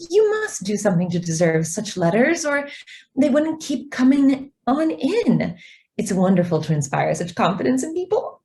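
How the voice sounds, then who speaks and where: Devoted young secretary in a radio play, acted by a woman; soft-edged mellow tone, earnest, idealistic, lingering on key words. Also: a little high, big pitch swings, normal speed. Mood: admiring, fervent. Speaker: actor. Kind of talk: radio drama acting.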